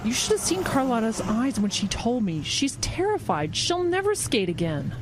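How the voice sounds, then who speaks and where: An actress playing a fretful, secretive friend in an audio drama, earnest and urgent. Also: female voice, a little high, very animated, moderate pace. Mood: earnest, grave. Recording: faint background noise.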